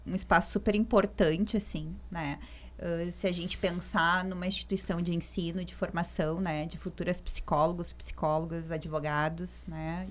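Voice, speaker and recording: A woman psychologist, a guest on a podcast, talking things through with many fillers. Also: on the low side. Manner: thoughtful, many fillers like "né" and "assim". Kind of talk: podcast discussion.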